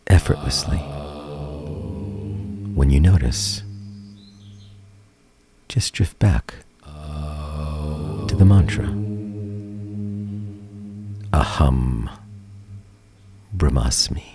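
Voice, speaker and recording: A man guiding a meditation in a soothing, gentle manner, leaving long pauses, with soft, breathy phrase endings. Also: very deep voice. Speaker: meditation teacher and guide. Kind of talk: guided meditation. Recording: calm music bed behind voice. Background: meditation music.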